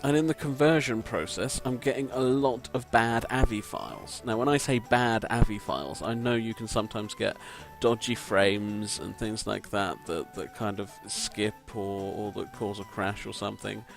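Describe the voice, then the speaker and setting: A man with a croaky voice, giving rambling, self-deprecating gameplay commentary from a home mic and swearing casually. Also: lowish voice, normal speed, few pauses, faint music behind. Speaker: let's play commentator. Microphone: home desk microphone.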